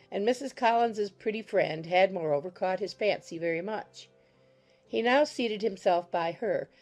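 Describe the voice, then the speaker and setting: A woman's voice: lowish voice, a little mellow. A woman reading scripted literary narration as the narrator of an audiobook.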